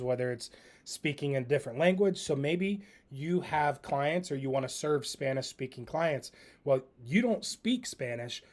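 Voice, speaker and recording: Man giving a casual software demo. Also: on the high side. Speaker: presenter demonstrating software. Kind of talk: casual spoken tutorial.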